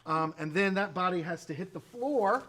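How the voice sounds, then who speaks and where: A man's voice: sits high, lively intonation, normal speed, fairly bright tone. A man from a radio drama troupe, casually prompting sound effects and rambling with many fillers and restarts. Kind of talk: casual demonstration talk.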